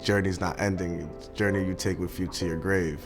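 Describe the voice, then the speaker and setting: A man speaking as a student in an interview testimonial, reflective and unhurried. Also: low voice, fairly even intonation. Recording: soft music underneath. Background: background music.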